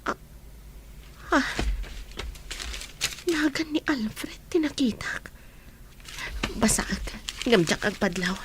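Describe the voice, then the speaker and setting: A woman's voice: on the high side, lively intonation, takes it slowly, long pauses, background noise. A woman acting a young, nosy and secretive friend in an Ilocano radio drama. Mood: secretive, suspicious.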